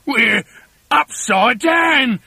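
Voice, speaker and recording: A man voicing a nasty, blustering, dim-witted husband who shouts and yells in a storybook reading. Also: very high-pitched, lively intonation, very slow, creaky, breathy edge, no echo, pitched above the performer's own voice, exaggerated voice. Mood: alarmed. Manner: shouting, yelling exclamations.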